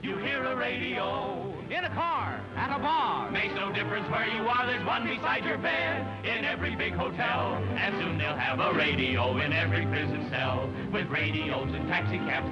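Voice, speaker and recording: A man singing a 1930s novelty popular song. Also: high voice. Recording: dull old recording with band music.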